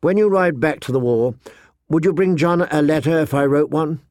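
A man puts on a stubborn, chattering young girl for an audiobook. The voice is lifted well above his usual pitch, eager and halting, in a dry close recording. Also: darkish tone, no echo, natural voice. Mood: excited, eager. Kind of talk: audiobook character dialogue.

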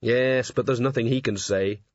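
A man's voice: medium pitch, fairly even intonation, moderate pace, dry recording, lightly acted. A man voicing a guarded young werewolf woman who speaks curtly and dryly. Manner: dry, curt. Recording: slightly dull lo-fi audio.

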